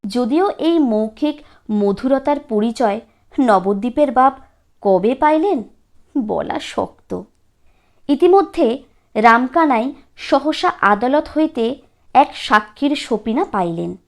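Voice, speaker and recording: A woman storyteller with a high-pitched voice reads scripted literary narration. Her pace is very slow and measured. She sets out each phrase deliberately, with a dramatic touch. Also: lively intonation.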